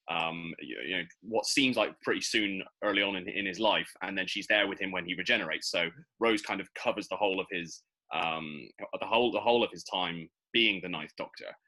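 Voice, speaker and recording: Male podcast host, low-pitched and rapid, animated, casual fan-chat delivery. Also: low-quality recording.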